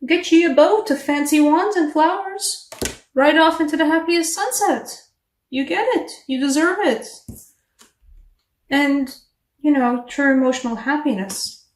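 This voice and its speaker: A woman giving a casual tarot reading to camera, chatty and animated, English not her first language, laughing at herself between rambling asides. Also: high-pitched, unhurried, distant mic. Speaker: tarot reader. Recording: echoey room, voice a bit off-mic.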